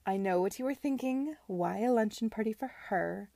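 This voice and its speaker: A woman's voice: mid-range voice, moderate pace, gravelly, dark tone, no echo, natural voice. A woman voicing a grand, condescending old aunt in an audiobook, pompous, theatrical and patronising. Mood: gratified. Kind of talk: audiobook character dialogue.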